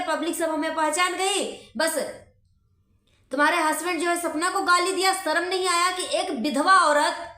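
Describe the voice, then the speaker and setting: A woman's voice: extremely high pitch, pushed and bright. A woman vlogger delivering an impassioned, indignant rant straight to the listener, scolding and repeating phrases for emphasis. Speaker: vlogger commenting on a social media dispute. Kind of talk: impassioned direct-address rant. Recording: echoey room.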